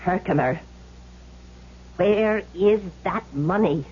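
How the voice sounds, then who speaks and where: An actress playing a suspicious, demanding widow in a 1970s radio drama, haughty and easily flustered. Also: female voice, on the low side, slow pace, a little mellow, slightly rough. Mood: curt, suspicious. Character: demanding, suspicious wealthy widow consulting a medium. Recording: muffled, noisy old radio broadcast.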